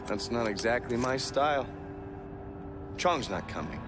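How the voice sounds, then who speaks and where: A man's voice: mid-range voice, expressive, a little slow, natural voice. Male actor, American agent for a game cutscene: sardonic, taunting, swears freely, music bed underneath. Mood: mocking, confident. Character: foul-mouthed, cocky American intelligence agent, man. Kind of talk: video game cutscene acting.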